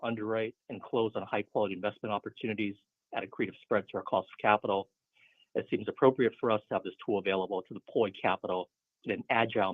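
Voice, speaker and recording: Over a narrow, muffled conference phone line, a man with a lowish, husky voice reads a scripted financial report. His delivery is businesslike and steady, that of an executive addressing investors. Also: dry recording. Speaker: finance executive on an earnings call.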